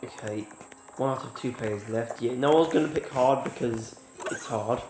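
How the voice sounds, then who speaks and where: Man, stuffy from a cold, casual gameplay chatter, roomy sound, loud game music behind. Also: mid-range voice, normal speed. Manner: chatty, talks to viewers, shouts when the game goes wrong. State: has a cold, sniffling. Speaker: gaming YouTuber.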